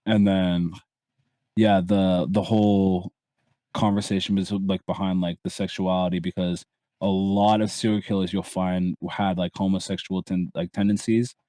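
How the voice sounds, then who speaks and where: A man's voice: deep voice, little pitch movement, moderate pace, warm tone, no echo. A man in his early twenties from Barbados, a guest chatting casually, rambling with restarts and casual swearing. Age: early 20s. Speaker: guest content creator. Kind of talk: casual podcast chat.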